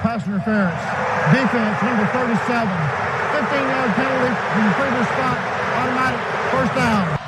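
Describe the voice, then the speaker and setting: A man's voice: extremely high pitch, moderate pace. A man working as a football referee, formally announcing penalties and rules. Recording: muffled stadium microphone under loud noise. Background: loud stadium noise.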